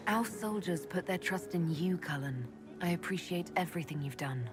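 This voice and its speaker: An actress playing a gentle, steadfast woman leader in love in a fantasy game, soft, measured and reassuring. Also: lowish voice, lively intonation, close to an everyday voice. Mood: tender. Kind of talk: dramatic video game voice acting. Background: orchestral game music.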